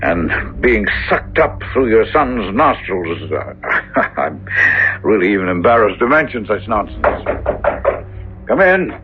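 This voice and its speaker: An earnest hospital doctor speaks gravely in an old radio drama. His voice is low and very lively in pitch. The sound is dull, with faint hiss. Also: measured pace. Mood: uneasy. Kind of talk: 1970s radio drama acting. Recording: muffled, hissy 1970s radio recording.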